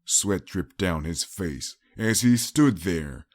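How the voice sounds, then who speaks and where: Casting: male audiobook narrator, low register, dry studio-like sound, dramatic scripted storytelling. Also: animated, takes it slowly, no room sound.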